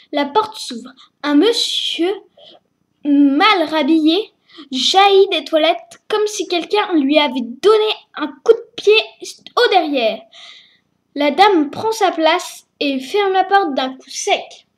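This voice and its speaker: Casting: woman storyteller, high voice, slow hesitant reading at home.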